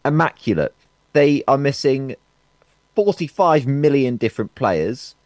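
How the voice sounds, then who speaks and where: A man's voice: mid-range voice, lively intonation, measured pace, a little mellow, no room sound. A man co-hosting a casual football podcast, gloating and giggling as he talks. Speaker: podcast co-host.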